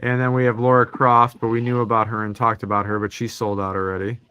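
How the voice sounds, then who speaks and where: A man co-hosting a casual podcast, talking through toy news in a relaxed, rambling, teasing way. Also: mid-range voice, fast talker, smooth, no echo, poor audio. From Chicago suburbs. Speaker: podcast co-host.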